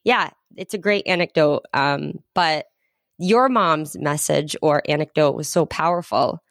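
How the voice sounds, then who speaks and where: A woman co-host with a lowish voice, recorded dry and close. She chimes in with enthusiasm, talking loosely and conversationally. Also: unhurried, creaky, dry recording. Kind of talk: casual interview chat.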